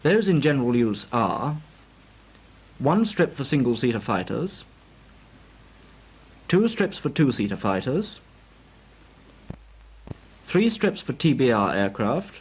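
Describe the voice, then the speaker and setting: An actor playing a formal, precise British naval flying instructor lecturing trainees in a 1940s instructional film. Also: male voice, mid-range voice, sing-song, slow, long pauses, husky, heavily acted. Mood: formal, instructive. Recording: noisy, crackly 1940s film soundtrack.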